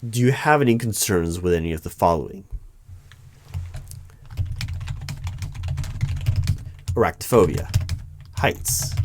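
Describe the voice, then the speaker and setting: A man's voice: deep voice, a little mellow, a little hiss or hum. A man playing a nervous, exasperated hiring manager at a secret bureau in an ASMR roleplay interview, with an officious, wordy, apologetic manner. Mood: businesslike, officious. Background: computer keyboard typing.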